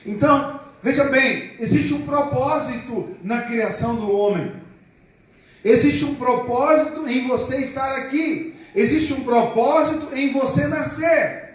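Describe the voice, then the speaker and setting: A pastor preaching a sermon to a congregation, calling out for responses and drawing out key words slowly. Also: male voice, very high voice. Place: church hall. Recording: echoey hall, mic far off.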